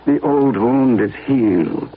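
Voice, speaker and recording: A man acting in a radio drama as a stern, cautioning character with a raspy voice. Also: mid-range voice, slow pace. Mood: stern, admonishing. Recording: tinny, narrow old radio recording.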